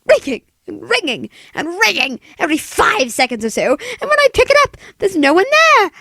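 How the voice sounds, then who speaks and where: A woman's voice: sing-song, normal speed, sharp tone, dry recording, exaggerated voice. A woman playing a nervous, demanding, self-pitying invalid wife in a melodramatic 1940s-style radio play. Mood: indignant, nervous. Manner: imperious, melodramatic, shrill, fretful.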